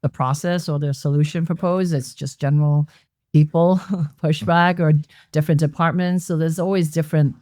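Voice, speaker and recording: A woman from Hong Kong answers interview questions in a reflective, explanatory manner. Her voice is mellow and close, with a dry sound, and she speaks at a middle pitch. She is recorded in a members' club lounge. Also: fairly even intonation, moderate pace, no echo. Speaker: guest.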